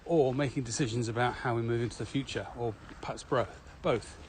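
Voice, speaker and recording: A man's voice: medium pitch, brisk. A man talking casually as co-host of a podcast. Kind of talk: casual conversational podcast chat. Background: steady ambient noise.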